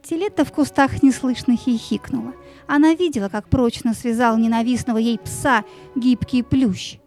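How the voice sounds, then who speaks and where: A woman narrating a children's story in an expressive, suspenseful, dramatic scripted style. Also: fairly high pitch, moderate pace, soft background music.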